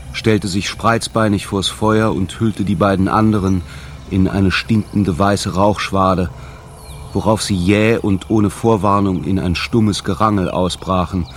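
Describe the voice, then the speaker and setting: A man reading literary radio drama narration in a measured, solemn manner. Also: low voice, slight background noise.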